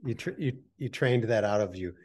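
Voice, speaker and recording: A man's voice: on the low side, fairly quick, a little mellow. A man hosting a casual interview, friendly and joking, with hesitant fillers. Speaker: host.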